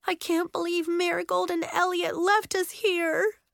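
Woman voicing a high, dramatic, snappish schoolgirl. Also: expressive, measured pace, creaky, sharp tone, no room sound, clean studio sound, full character voice. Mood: dismayed.

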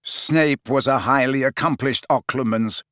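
Man playing grave adult male wizard, firm, harsh edge, mid pitch, dry close sound, storybook character. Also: animated, normal speed, no echo, lightly acted.

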